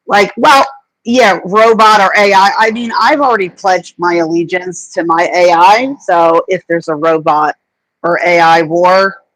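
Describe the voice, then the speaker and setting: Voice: medium pitch, measured pace, fairly bright tone, no echo, low-quality recording. A middle-aged guest on a casual livestream chat, speaking hesitantly with frequent stammers and restarts. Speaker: podcast guest.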